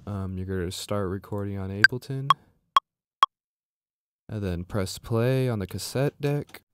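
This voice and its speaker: A man narrating a software tutorial as a music production instructor, explaining step by step in an informal way. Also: fairly low pitch, measured pace, very noisy.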